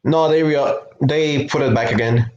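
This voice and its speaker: A man joining a stream as a guest, speaking in a loud casual way with stammered restarts. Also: mid-range voice, moderate pace, roomy sound, muffled recording, a little hiss or hum. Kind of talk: casual chat.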